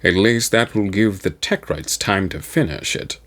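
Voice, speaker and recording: A man voicing a towering, cold warrior captain general in a sci-fi audiobook, speaking tersely and with measured coolness. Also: low-pitched, a bit bright. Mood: cool, commanding.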